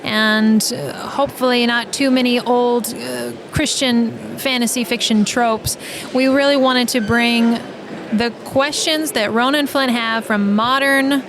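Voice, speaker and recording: A woman guest on a podcast, chatting casually and telling stories in an animated manner, with quoted asides. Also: on the high side, measured pace, a crowd in the background. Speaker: podcast guest, audio drama writer. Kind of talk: casual interview chat. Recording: clear background noise.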